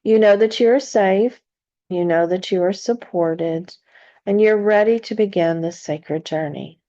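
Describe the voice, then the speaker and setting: A woman hosts a guided meditation at a very unhurried pace. Her voice is mellow and dark, with gentle, deliberate phrasing and long pauses between thoughts. The recording sounds slightly dull and muffled. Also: mid-range voice. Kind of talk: slow, soothing guided meditation narration.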